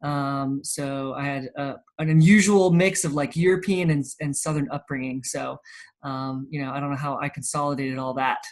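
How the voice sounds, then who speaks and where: A man's voice: medium pitch, steady tone, normal speed. A man in his thirties from South Carolina with an American accent and Swiss German as first language, chatting casually as an interview guest. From Charleston, South Carolina, United States. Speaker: interview guest, physical therapist and entrepreneur.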